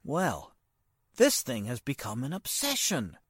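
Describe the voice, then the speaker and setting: A man playing a polite, hesitant, worried nephew in 1940s radio drama style, earnest and formal, halting as he speaks. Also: medium pitch, huge pitch swings, fairly bright tone, breathy edge, no room sound, clean studio sound, full character voice. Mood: troubled.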